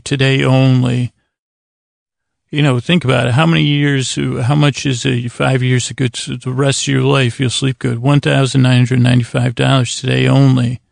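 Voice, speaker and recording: A man hosting a bedtime podcast in a casual, meandering monologue, halting, stammering, repeating himself and gently self-deprecating. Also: mid-range voice, creaky, no room sound, clean studio sound. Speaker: podcast host.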